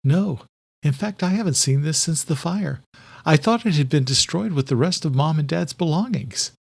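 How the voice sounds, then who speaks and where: Audiobook role: man plays emotional, spirited young sister, voice lifted high, quick to snap back. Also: expressive, vocal fry.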